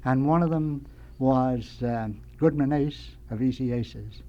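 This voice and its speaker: An older American man speaks at a medium pitch as a radio guest. He reminisces about his working life in a halting, rambling way, telling anecdotes in a radio studio. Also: dull audio. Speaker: radio guest, veteran sound effects man. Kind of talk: nostalgic anecdotal storytelling.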